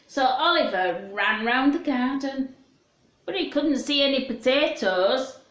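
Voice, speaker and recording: A woman with a fairly high voice reads a children's story slowly and expressively. She breaks off for gentle questions to small listeners. The room around her is echoey. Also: animated.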